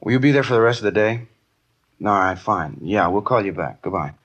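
An actor playing a businesslike police detective in a 1950s radio drama, asking clipped, matter-of-fact questions. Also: male voice, on the low side, lively intonation, brisk, dull audio, background noise, natural voice. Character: brisk, businesslike male police detective partner.

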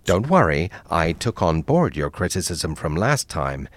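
An actor playing a cultured cannibal doctor villain who leads a villains' support group, speaking in a soft, measured way. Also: male voice, low-pitched, very animated.

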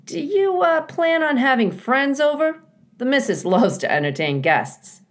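A woman voicing a folksy, bossy but kindly male beaver builder in a children's storybook, chatty and gruff in manner. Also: high-pitched, big pitch swings, unhurried, pitched above the performer's own voice. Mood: folksy, teasing.

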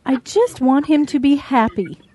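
A woman's voice: sits high, a little slow, heavy background noise. A woman voicing a doting, defensive mother cow in an animal audio drama, speaking earnestly.

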